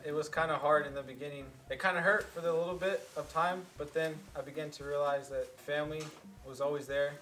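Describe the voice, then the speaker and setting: A man of twenty-one sharing his story in relaxed, reflective casual talk. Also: on the high side, fairly quick, distant mic, a little hiss or hum, poor audio. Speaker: panel guest. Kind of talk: casual testimony. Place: small wood-paneled studio room.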